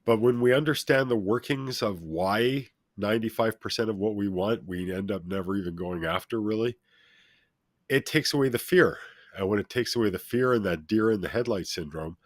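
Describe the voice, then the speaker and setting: A middle-aged or older man with a deep, authoritative voice, speaking as a motivational guest and addressing listeners in an emphatic, instructive way. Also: normal speed, no room sound, clean studio sound. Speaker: talk show guest, self-help speaker. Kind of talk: motivational interview talk, speaking at length.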